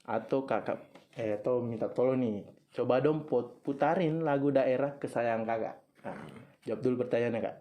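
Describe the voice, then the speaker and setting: A man's voice: mid-range voice, normal speed, dark tone. A young man hosting a student campus radio talk show, chatty, prompting his guests and reading listener questions aloud. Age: young adult.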